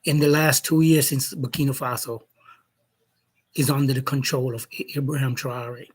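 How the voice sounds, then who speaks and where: A man from Jamaica speaking as a commentator in an opinion monologue, slow and deliberate, posing challenging questions. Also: medium pitch, little pitch movement, breathy edge, rough recording.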